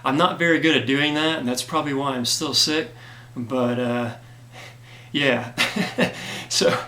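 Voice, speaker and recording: A man who created a comic gives an earnest, grateful update to his backers, hoarse from a cold and filling his talk with "uh". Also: mid-range voice, fairly even intonation, measured pace, sharp tone, reverberant. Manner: earnest and grateful, many fillers. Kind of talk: casual direct-to-camera talk.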